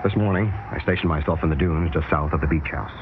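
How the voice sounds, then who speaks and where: An American actor playing an urgent, probing radio news correspondent in a vintage radio drama. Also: male voice, extremely low pitch, fast, music in the background. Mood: grave, measured. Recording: noisy, muffled vintage radio recording.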